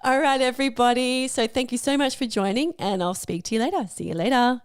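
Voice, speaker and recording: A woman hosting a chatty podcast interview, effusive and encouraging, with a slightly croaky voice. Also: on the high side, a little fast, no room sound, clean studio sound. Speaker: podcast host.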